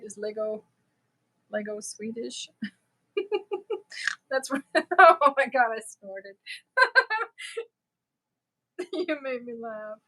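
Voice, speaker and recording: A woman's voice: fairly high pitch, a bit bright, poor audio. A middle-aged woman from Germany, chatting casually with viewers as host of a crochet livestream and laughing easily. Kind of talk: casual livestream chat answering viewer comments.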